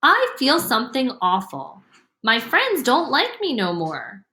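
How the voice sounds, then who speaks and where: An earnest little country girl from a storybook, voiced by a woman. She is blunt and troubled, speaking in a folksy, plaintive way with lively rises and falls. The sound is echoey. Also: on the high side, a little slow, voice pushed up, full character voice. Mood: downcast. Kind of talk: storybook character voice. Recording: roomy, echoing room sound.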